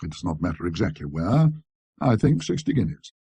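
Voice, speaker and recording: A man's voice: low-pitched, sing-song, brisk, vocal fry, warm tone, breathy edge, dry recording. A man narrating a scripted ghost story in a precise, scholarly manner.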